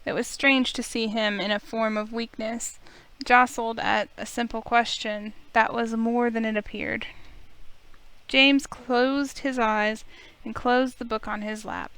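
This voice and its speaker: A woman reading a visual novel aloud as an expressive first-person story, with music underneath. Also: fairly high pitch, measured pace, clear, resonant tone, poor audio.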